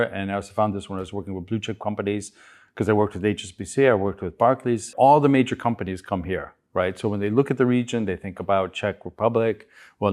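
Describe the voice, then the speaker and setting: A middle-aged American man from Chicago, a guest telling his story in a relaxed interview, with many restarts. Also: lowish voice. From United States, Chicago. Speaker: guest entrepreneur. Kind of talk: conversational interview.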